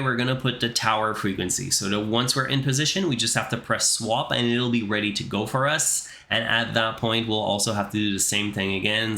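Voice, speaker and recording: A man's voice: mid-range voice, pushed and bright. A man narrating an explanatory tutorial as an instructor, explaining things step by step. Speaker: flight simulator instructor.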